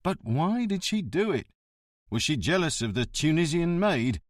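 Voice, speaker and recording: A man doing an eager, brisk police officer. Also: fairly high pitch, sing-song, no echo, clean studio sound, pitched above the performer's own voice. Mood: curious. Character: loyal, eager male police officer, deputy to the chief.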